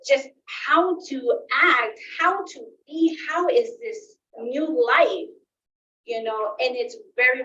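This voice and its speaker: A woman's voice: a little high, a bit bright. A woman giving impassioned public comment as a school staff member, emphatic and repeating her phrases to press the point. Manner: emphatic, repeats phrases, checks with right and okay. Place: indoor public meeting room. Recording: echoey meeting room, distant muffled mic, faint noise.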